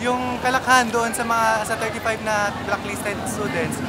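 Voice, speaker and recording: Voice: mid-range voice, little pitch movement, measured pace. A young student activist with a Filipino accent giving a spoken interview statement. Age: young adult. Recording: very noisy outdoor crowd and traffic.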